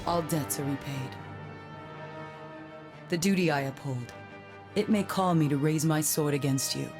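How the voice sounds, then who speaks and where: A woman's voice: low voice, unhurried, music in the background. An actress playing a proud, honor-bound female angel warrior in a video game, formal and dramatic in delivery. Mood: solemn warning. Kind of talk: video game cutscene acting.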